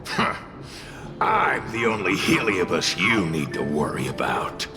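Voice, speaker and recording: A man's voice: sits low, huge pitch swings, a little slow, in a large hall, exaggerated voice. A man voicing a smug, boastful, menacing little spirit creature in a video game. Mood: smug, scornful. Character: smug, menacing male fire spirit, small but boastful. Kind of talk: anime-style video game voice acting. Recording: background music under the voice.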